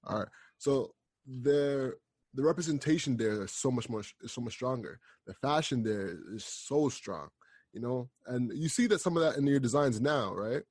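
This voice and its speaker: A man from Canada hosting a podcast, asking questions in a relaxed, soft-spoken way with fillers. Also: medium pitch, moderate pace, breathy edge, no echo, studio quality. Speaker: podcast host. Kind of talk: casual podcast interview.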